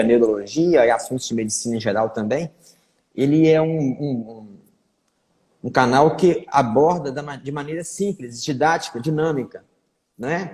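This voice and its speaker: This is a man hosting a casual live stream with a fellow doctor. His voice is mellow and unhurried, and he keeps dropping in small conversational tags. The sound carries a long, echoing reverb. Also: on the high side. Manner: chatty, repeats fillers like "né". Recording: echoey room with long reverb.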